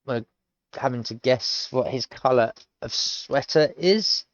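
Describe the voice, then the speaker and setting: A man's voice: medium pitch, expressive, slow, no echo, lo-fi sound. A British man in his thirties, teaching himself a language on stream, thinking aloud in a rambling, hesitant way. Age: 30s. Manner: rambling, hesitant, full of restarts. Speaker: self-taught language learner streaming. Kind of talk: casual thinking-aloud commentary.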